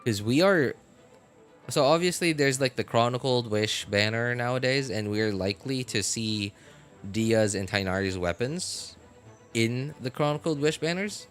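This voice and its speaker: A man talking casually on a game live stream, rambling with many fillers and getting animated about his opinions. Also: medium pitch, a little slow, faint music behind. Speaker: gaming streamer.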